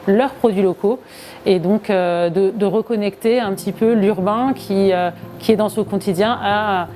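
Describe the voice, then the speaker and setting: A woman's voice: mid-range voice, measured pace, dark tone, a little hiss or hum. A woman, speaking as a local project representative in an explanatory interview.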